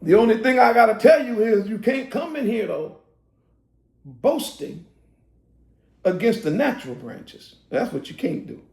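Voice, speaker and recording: A man preaching a casual sermon, swinging from loud, laughing, shouted asides to slow, drawn-out scripture reading. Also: high-pitched, animated, vocal fry, roomy sound. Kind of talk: street-style sermon teaching.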